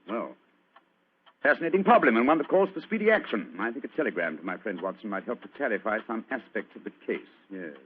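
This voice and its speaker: An actor playing a keen detective musing deliberately in an old radio drama, on a noisy, tinny recording. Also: male voice, lowish voice, lively intonation, lightly acted. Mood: musing, intrigued. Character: keen, musing British detective relishing a puzzle.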